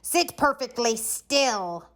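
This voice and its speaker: A woman voicing a mean, stern substitute teacher with a slow, curt, hissing manner in a storybook. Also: a little high, animated, a bit bright, voice pushed up. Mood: menacing, stern.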